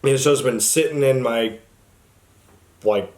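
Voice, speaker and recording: A man's voice: mid-range voice, slow, a little mellow. A man hosting a book channel, talking casually to the camera in an informal, rambling way. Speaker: book review channel host.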